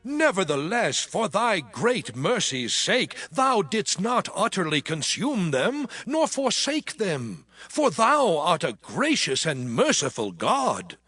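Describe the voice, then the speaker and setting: A man acting a high-voiced, slow, reverent supplicant. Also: huge pitch swings, sharp tone, breathy edge, heavily acted. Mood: reverent pleading. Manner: formal, measured. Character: reverent, pleading man praying for his people. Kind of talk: dramatized scripture reading. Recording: studio reading under prominent music.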